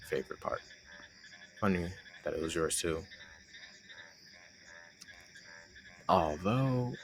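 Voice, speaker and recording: A man's voice: on the low side, fairly bright tone, slight background noise, exaggerated voice. A young man playing a playful, teasing roommate with a hidden crush, chatting casually and sarcastically to the listener in an intimate roleplay audio. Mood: curious, fond. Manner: teasing, conversational, sarcastic banter.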